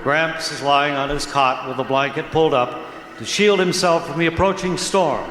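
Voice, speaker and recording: A man hosting and announcing in an old-time radio comedy style, deadpan and formally polite. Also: on the high side, normal speed. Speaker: radio show host and announcer. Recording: echoey, noisy old radio recording.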